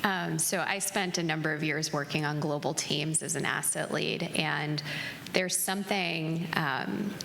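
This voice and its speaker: A woman giving a measured answer on a conference panel. Her low voice has a gravelly fry, and it rings out with long reverb through a large ballroom over a faint hum. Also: fairly bright tone.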